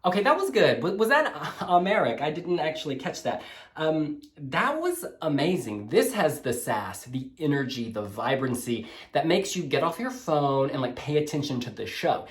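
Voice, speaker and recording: A man's voice: a little high, big pitch swings, normal speed. A man hosting a music blog video, gushing and sassy as he casually reviews song demos. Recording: roomy echoey room.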